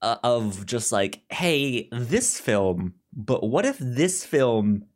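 Podcast co-host of unclear gender: unhurried, halting delivery, animated intonation, casual film chat. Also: mid-range voice. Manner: halting, repeats words and restarts often.

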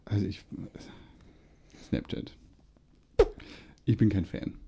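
A young man hosting a podcast, chatting in a relaxed, agreeable way with plenty of filler. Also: lowish voice, slow, gravelly, a little hiss or hum. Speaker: podcast host. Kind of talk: casual chat.

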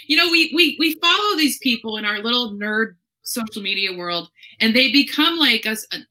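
A chatty woman hosts a relaxed interview, full of enthusiasm and little fillers. Her voice is bright and forward. She talks over a video call. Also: a little high, moderate pace, poor audio. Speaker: interviewer and show host. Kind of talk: casual conversational interview.

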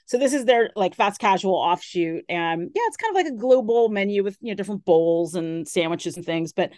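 A woman restaurant columnist chatting on a radio interview, full of "like" and "you know" fillers, with an enthusiastic tone whose pitch swings up and down. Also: lively intonation, brisk, a little mellow, no room sound, clean studio sound. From based in San Francisco area. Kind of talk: casual radio interview chat. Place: radio studio.

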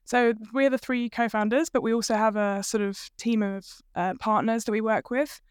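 A woman's voice: a little high, steady tone, normal speed, clear, resonant tone, no echo. A British woman, from the UK, in her thirties, chatting casually as an interview guest with lots of fillers. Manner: chatty, many fillers and hedges. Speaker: guest, company co-founder.

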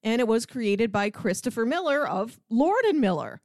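A woman's voice: a little high, sing-song, normal speed, a little mellow, dry recording, studio quality. A woman co-hosting a podcast, reviewing television in a casual, explanatory conversational way. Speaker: podcast co-host.

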